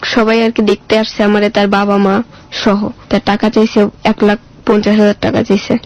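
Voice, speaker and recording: Woman with a flat, creaky Bangladeshi voice. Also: mid-range voice, moderate pace, highs cut off. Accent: Bangladeshi colloquial Bengali. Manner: plain-spoken, recounting dialogue as she goes. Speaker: interview guest telling her life story. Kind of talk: personal storytelling in casual speech.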